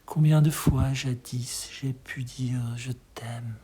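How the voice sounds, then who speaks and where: A hushed, breathy voice giving an expressive, tender recitation of poetry in a measured way. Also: medium pitch. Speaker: poetry reader.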